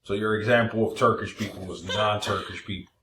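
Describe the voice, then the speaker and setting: A man's voice: lowish voice, fairly even intonation, a little fast, noisy background. A man trading casual banter as a comedy podcast co-host, with dry, teasing wisecracks. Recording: roomy, echoey podcast sound.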